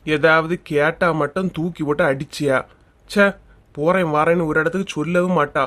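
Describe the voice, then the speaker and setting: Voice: medium pitch, fairly even intonation, normal speed, a bit bright, lightly acted. An actor with a Kanyakumari Tamil accent playing an easygoing young village husband in a comic cartoon. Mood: exasperated.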